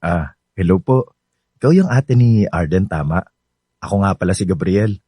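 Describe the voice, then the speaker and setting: A man's voice: deep voice, expressive, normal speed, warm tone, breathy edge, dry recording. A man acting a shy, polite young suitor in a Filipino radio drama, speaking hesitantly. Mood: polite, nervous.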